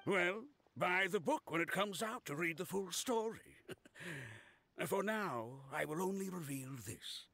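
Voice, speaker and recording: An actor playing a self-important, excitable male archaeologist in a game, declaiming in a pompous, indignant way. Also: sits high, slow, creaky, no room sound, exaggerated voice. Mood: boastful, secretive. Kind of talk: English game voice acting.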